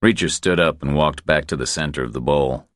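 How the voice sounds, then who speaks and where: A man with an exceptionally low, deep voice narrates a thriller audiobook. His reading is measured and deliberate, and the recording is dry and close. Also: no room sound. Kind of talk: scripted narration of crime thriller.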